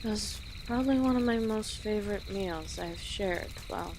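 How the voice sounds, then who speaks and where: A woman playing an easygoing, friendly female orc travel guide in an audio roleplay, speaking gently and conversationally to the listener with pauses. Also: medium pitch, background noise, lightly acted. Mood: fond, chatty. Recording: outdoor nature ambience with birdsong behind. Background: birds and rural outdoor ambience.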